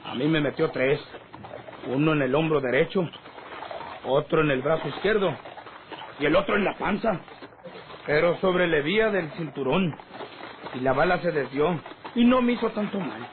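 A man's voice: on the high side, moderate pace, long pauses, natural voice. An actor playing a rustic Mexican hired gunman, telling things emphatically in an old radio drama. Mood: indignant. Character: rustic hired gunman recounting his wounds. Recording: noisy, tinny old radio recording.

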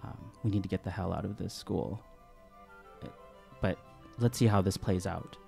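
Male tabletop player, lowish gravelly voice with lots of movement, casual roleplay. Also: moderate pace, warm tone, soft background music. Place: room, group around a table. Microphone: table microphone on arm, close.

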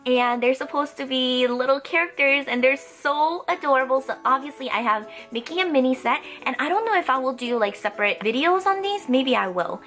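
Young female toy-haul vlogger, excitable and chatty, very high bright voice, a little congested. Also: a little fast, few pauses, soft background music. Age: young adult. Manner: bubbly, excitable. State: stuffy, getting over a cold. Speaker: host of a toy haul channel. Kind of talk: casual chatty haul vlog. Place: indoor room lined with plush toys.

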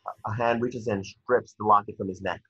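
A man's voice: fairly low pitch, fairly even intonation, fairly quick, pushed and bright, husky, dry recording. A young man reading screenplay stage directions as narrator over a video call. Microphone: computer mic on video call. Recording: dull, dry video-call audio.

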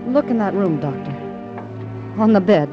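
An actress playing a soft-spoken, composed new widow, polite and hesitant, in a 1950s radio drama. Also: female voice, medium pitch, expressive, normal speed, lightly acted. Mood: subdued, composed. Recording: dull old radio recording. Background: music.

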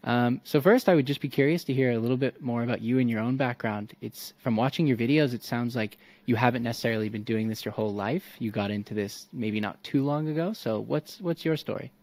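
A man's voice: mid-range voice, brisk, few pauses, a little mellow, no echo. A young man hosting a podcast, reading his intro and asking questions in a polite, self-deprecating, slightly hesitant way. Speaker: podcast host. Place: home room. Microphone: studio mic on a boom arm.

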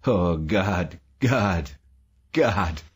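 A man's voice: deep voice, expressive, very slow, breathy edge, heavily acted. Man acting out a hysterical, anguished old man. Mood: sobbing. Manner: shrieking, sobbing, ranting. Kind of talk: audiobook character acting.

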